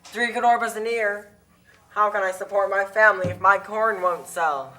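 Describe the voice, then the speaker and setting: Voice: medium pitch, normal speed, bright, forceful tone, lightly acted. A performer voicing a weary, struggling small corn farmer in a puppet show, earnest and plaintive.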